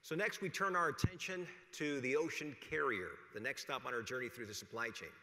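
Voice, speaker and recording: An American man hosting a conference, reading a formal, measured introduction of a speaker to the room. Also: mid-range voice, pushed and bright. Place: large conference hall, podium. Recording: echoey hall through PA.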